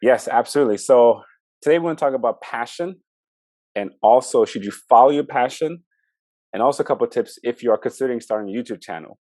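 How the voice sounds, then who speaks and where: A man's voice: medium pitch, quick pace, a little mellow, dry recording. A man with a Norwegian accent hosting a casual video interview, friendly and a little hesitant with fillers. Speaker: host.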